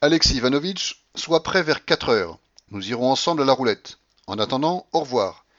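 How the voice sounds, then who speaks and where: A man's voice: medium pitch, expressive, moderate pace, a bit bright, lo-fi sound, voice pushed up, lightly acted. A man voicing an imperious, eccentric rich old Russian grandmother in an audiobook, blunt and bossy. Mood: commanding.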